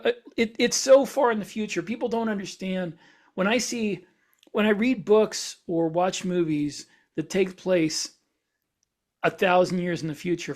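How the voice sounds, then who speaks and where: A man's voice: sits high, a little mellow. A man co-hosting a podcast in casual discussion, talking discursively and reasoning his way through examples. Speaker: podcast co-host.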